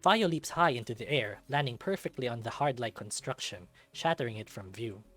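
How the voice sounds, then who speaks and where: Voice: mid-range voice, expressive, a little fast, a bit bright. Narrator reading a story over music. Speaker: let's player reading a visual novel aloud. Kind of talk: dramatic narration of a visual novel. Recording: background music under the voice. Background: game music.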